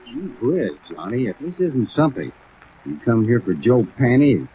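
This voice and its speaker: A man's voice: mid-range voice, very animated, normal speed, natural voice. A man playing a friendly, worried, folksy insurance company man in a 1950s radio drama. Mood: worried, admiring. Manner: folksy, exclamatory, solicitous. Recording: tinny vintage radio, faint rain noise.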